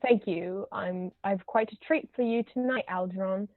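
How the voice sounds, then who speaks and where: A woman's voice: mid-range voice, normal speed, no echo, narrow, tinny audio, pitched below the performer's own voice, close to an everyday voice. A woman voicing an imperious older aristocratic aunt, stately and haughty, in a table read of a stage comedy over thin call audio. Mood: condescending.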